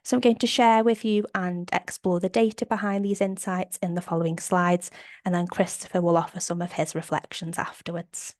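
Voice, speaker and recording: A woman with a slight cold, sounding a bit croaky, presenting survey findings on a video call in an upbeat, methodical way. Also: lowish voice, level tone, moderate pace, a little mellow, dry recording, clean studio sound. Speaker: operations manager presenting survey data. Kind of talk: webinar slide presentation.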